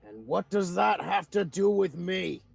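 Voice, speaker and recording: A man's voice: sits high, animated, a little slow, gravelly, husky, exaggerated voice. A man voicing a grumpy, irritable talking door guardian in a tabletop game, complaining in an exasperated manner.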